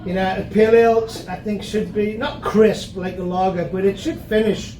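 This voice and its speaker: A man's voice: sits high, fairly even intonation, moderate pace, warm tone, slight background noise. A man, from Newcastle, hosting a casual beer tasting, chatty and jokey with lots of fillers. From Newcastle, England. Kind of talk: casual chat. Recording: echoey room, distant mic, crowd chatter.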